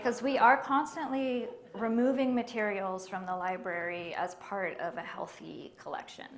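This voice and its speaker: A woman with a quiet voice, speaking on a panel at a library event in a measured way, with frequent ums. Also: mid-range voice. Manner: soft-spoken and measured, with many ums. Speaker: library collection manager on a panel. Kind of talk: panel discussion answers.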